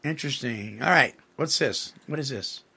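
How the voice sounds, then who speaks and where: Man radio host, gravelly, husky, bright tone, fighting a cold, halting with fillers. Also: medium pitch. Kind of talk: casual talk radio chat.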